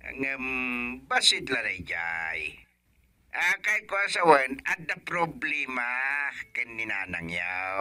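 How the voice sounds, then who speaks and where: Actor doing flustered village guy, very sharp forceful voice, slow n halting, radio comedy. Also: mid-range voice, big pitch swings, no echo, exaggerated voice. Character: flustered village man bashfully complaining about a problem. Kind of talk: comedy radio drama acting.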